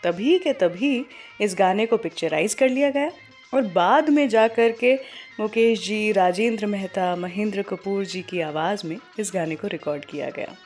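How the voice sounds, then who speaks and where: A woman's voice: mid-range voice, normal speed, darkish tone. Indian woman radio host over music. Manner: conversational storytelling, mixing English words into speech. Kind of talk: radio show presenting with film trivia. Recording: music playing behind the voice. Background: background music.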